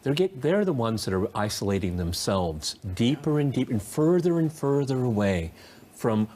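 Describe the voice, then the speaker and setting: A man hosting a political panel discussion, speaking haltingly and repeating his words as he goes. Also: mid-range voice, big pitch swings, a little mellow. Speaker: talk show host.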